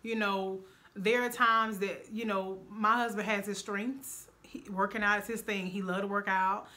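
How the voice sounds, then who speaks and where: A woman with a bright, forward voice talks in an earnest, chatty way. She explains things at length while co-hosting a casual couple's vlog. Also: medium pitch, level tone, normal speed. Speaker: vlog co-host.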